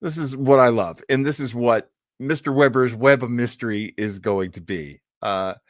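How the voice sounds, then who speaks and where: A man's voice: mid-range voice, moderate pace, no echo, clean studio sound. An older man hosting an old time radio show, chatting casually with live-stream listeners in a rambling, enthusiastic way.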